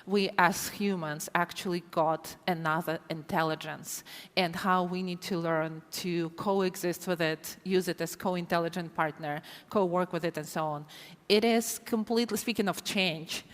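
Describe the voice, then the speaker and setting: A woman with a Ukrainian accent speaking on a conference panel, earnest and thoughtful, often repeating words as she starts a thought. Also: on the low side. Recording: reverberant conference hall.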